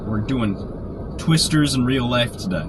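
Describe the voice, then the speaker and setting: A man with a sore throat from a cold, keeping his voice quiet and low while chatting casually on a live stream from inside a parked car. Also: lively intonation, a little slow. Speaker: storm chaser live-streaming. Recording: noisy car interior. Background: car and outdoor traffic noise.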